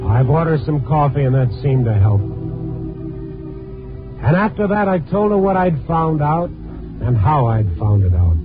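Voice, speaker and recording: A man's voice: fairly high pitch, big pitch swings, unhurried, long pauses. A hardboiled american newspaperman, blunt and world-weary, old thin radio sound with music. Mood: weary, reflective. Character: tough, world-weary male newspaper reporter. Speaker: lead actor and narrator. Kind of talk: 1950s radio drama acting. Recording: tinny old 1950s radio recording, music.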